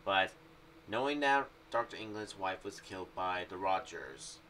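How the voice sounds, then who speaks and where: A young man talks through his ideas in a casual, rambling vlog style. His voice is fairly low yet bright and forward, and he halts and repeats himself as he goes. He sounds somewhat far from the mic. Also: animated, a little slow. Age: young adult. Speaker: YouTube vlogger. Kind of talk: casual commentary on a horror audio series. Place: small home room. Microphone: condenser mic with pop filter on boom arm. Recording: distant-sounding mic with faint background noise.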